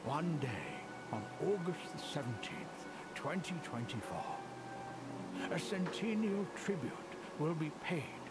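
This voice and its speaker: A man giving hushed, whispered dramatic narration for a music video. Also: on the high side, big pitch swings, takes it slowly. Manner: whispered, solemn delivery.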